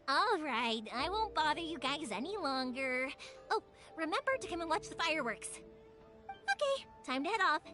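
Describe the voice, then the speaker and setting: A woman voicing a cheerful, chatty, excitable young fireworks maker in an anime-style video game. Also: high-pitched, a little slow, long pauses. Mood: friendly, chatty. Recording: music behind the voice.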